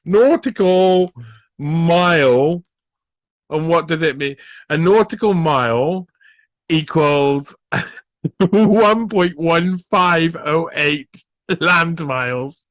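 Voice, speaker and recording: Man, podcast host, sarcastic, rambling, chuckles at his own jokes, higher-pitched voice, dry close sound. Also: extremely slow, no echo. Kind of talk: commentary reacting to a news clip.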